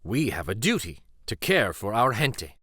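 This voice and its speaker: A man voicing a caring, purposeful father in a picture book, speaking in a measured, instructive way. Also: lowish voice, very animated, fairly bright tone, husky, dry recording, clean studio sound, pitched below the performer's own voice, heavily acted. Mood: earnest. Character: caring Texan border-town father, purposeful and warm-hearted.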